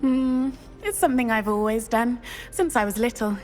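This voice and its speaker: A woman's voice: fairly high pitch, moderate pace, a little mellow, soft background music, lightly acted. A woman playing a bold, playful teenage witch in a video game, chatty and exclamatory with a British accent. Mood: chatty, amused. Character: bold, breezy, thrill-seeking teenage witch schoolgirl. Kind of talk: scripted video game dialogue.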